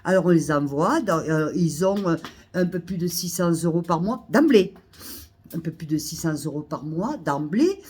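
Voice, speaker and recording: A woman with a cold, coughing now and then, ranting indignantly to her followers, repeating herself and rising to loud outbursts. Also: on the low side, slight background noise. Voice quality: hesitant restarts and fillers. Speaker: vlogger. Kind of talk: ranting monologue to camera. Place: Almeria, Spain.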